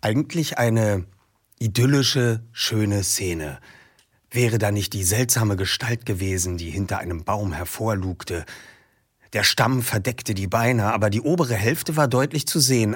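A man's voice: lowish voice, husky. A man hosting a podcast who reads a book passage aloud and then interviews a guest in a casual, eager way.

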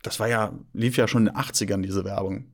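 A man's voice: on the low side, steady tone, quick pace. A man born near Paris to German parents, co-hosting a podcast in casual chat, with many hesitations and fillers. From born near Paris, raised by German parents.

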